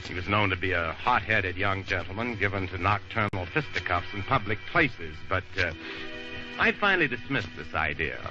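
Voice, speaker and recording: Low-voiced scheming lawyer, old radio noir. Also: male voice, expressive, normal speed. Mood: smug, calculating. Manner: wordy, theatrical, formal diction. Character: scheming, self-satisfied male lawyer narrating his own crime. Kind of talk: 1940s radio noir acting with narration. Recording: muffled old radio recording, music behind.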